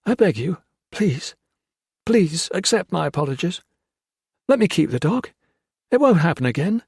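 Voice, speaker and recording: A desperate captain begging for mercy, as voiced by a male reader, his words choked and raised in pitch. The sound is close and dry. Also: huge pitch swings, unhurried, husky, dry recording, full character voice. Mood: pleading. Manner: choked, pleading, abject.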